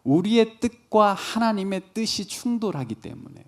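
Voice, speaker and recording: Male pastor, higher voice, very lively intonation, conversational preaching built on rhetorical questions. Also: moderate pace. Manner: rhetorical questions, answers them himself. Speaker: pastor preaching to young adults. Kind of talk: sermon with conversational illustrations.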